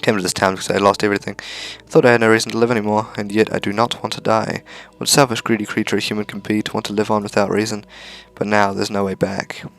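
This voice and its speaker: Creaky-voiced man reading a visual novel. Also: fairly low pitch, flat delivery, quick pace, few pauses, fairly bright tone, faint music behind. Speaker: gaming video creator reading a visual novel aloud. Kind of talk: let's play narration with casual asides.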